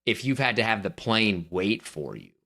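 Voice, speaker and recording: A man with a slightly low voice co-hosts a casual podcast chat. He is opinionated and blunt, and he presses his points with emphasis. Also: very animated, moderate pace, vocal fry, sharp tone. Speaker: podcast co-host.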